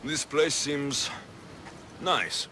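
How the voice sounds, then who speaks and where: An actor playing a determined, dry-witted young mercenary warrior in a video game, terse in manner. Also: male voice, on the high side, drawn-out words, bright, forceful tone, slight background noise, exaggerated voice. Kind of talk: video game cutscene acting.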